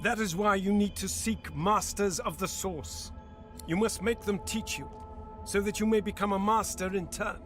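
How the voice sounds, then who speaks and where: Solemn, higher-pitched god over music. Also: male voice, steady tone, fairly bright tone. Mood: solemn, exhorting. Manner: grave, grandiloquent, measured. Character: solemn male god, lofty guiding mentor. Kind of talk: fantasy video game voice acting. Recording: orchestral music behind the voice.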